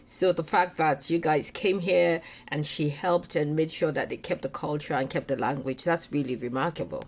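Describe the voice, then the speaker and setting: A woman's voice: sits low, normal speed, slight background noise. A woman hosting an interview, asking questions and encouraging her guest with frequent short affirmations. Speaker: podcast host.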